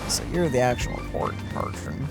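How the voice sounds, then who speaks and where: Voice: medium pitch, fairly quick, over loud music. A gamer giving casual let's play commentary in a conversational way.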